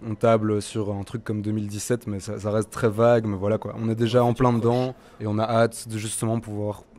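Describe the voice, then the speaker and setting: A young man in his twenties from Belgium answers interview questions after a gig. His voice sits low and he talks in a hesitant way, full of fillers and restarts. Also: flat delivery, a little fast, darkish tone. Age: 20s. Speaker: musician. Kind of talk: festival interview.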